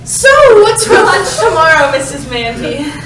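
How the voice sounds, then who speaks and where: A woman plays a naive middle-school girl in a comic stage play. Her voice is extremely high and breezy, heard at a distance in an echoey, noisy room. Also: lively intonation, a little slow. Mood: eager, polite.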